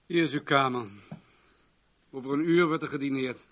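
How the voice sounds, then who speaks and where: A man's voice: medium pitch, fairly even intonation, moderate pace, fairly bright tone, a little hiss or hum, lightly acted. A man acting in a radio play as a self-assured, rich businessman and bon vivant, lecturing in a leisurely, pompous way. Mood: smug, commanding.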